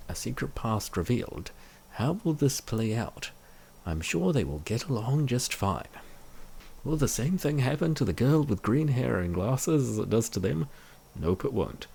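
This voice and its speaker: A man's voice: mid-range voice, sing-song, measured pace, gravelly, dark tone, slight background noise. A man giving reaction commentary on a comic, with mock-dramatic asides and rhetorical questions.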